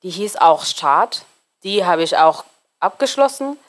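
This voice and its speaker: A woman in her twenties from Somalia, German not her first language, talking as an interview guest and often pausing on fillers while searching for words. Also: lowish voice, expressive. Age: 20s. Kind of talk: casual interview talk.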